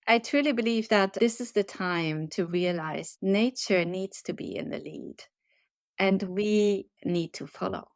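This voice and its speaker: A woman from Germany answers interview questions in an earnest, measured way, with gentle emphasis. Her voice sits at a middle pitch. The sound is dry and close, with no room echo. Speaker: resilience and leadership trainer, guest. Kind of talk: reflective podcast interview answers.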